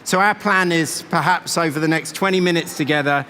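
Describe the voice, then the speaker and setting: Higher-pitched male moderator in an echoey hall. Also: fairly quick, bright, forceful tone. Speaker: venture investor moderating a fireside chat. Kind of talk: conversational interviewing on stage. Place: event stage in a large venue. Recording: echoey large hall, faint noise.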